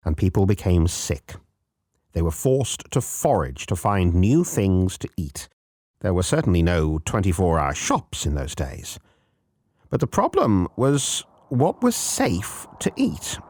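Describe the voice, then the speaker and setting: A man's voice: sits low, sing-song, unhurried, a little mellow, slightly rough, no echo, clean studio sound. A man narrating a scripted folk tale as a podcast storyteller, theatrical and playful, speaking directly to the listener.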